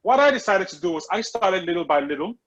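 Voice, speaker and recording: A middle-aged man from Cameroon, telling his story earnestly in an interview, with many restarts and slow, stressed words. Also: high-pitched, lively intonation, fairly bright tone, dull audio. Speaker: guest, sneaker customizer and reseller. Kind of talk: conversational interview.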